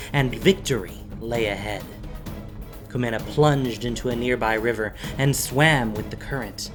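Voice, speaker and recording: A man narrating a fantasy story as scripted audio drama, in a dramatic, deliberate storytelling manner. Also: mid-range voice. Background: music.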